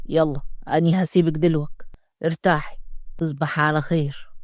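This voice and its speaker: A woman's voice: deep voice, little pitch movement, fairly quick, no echo, studio quality, pitched below the performer's own voice, natural voice. A woman voicing a strict, domineering father, giving curt orders in a storybook reading. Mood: stern. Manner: commanding, curt, lays down rules. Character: stern domineering Upper Egyptian man, strict father.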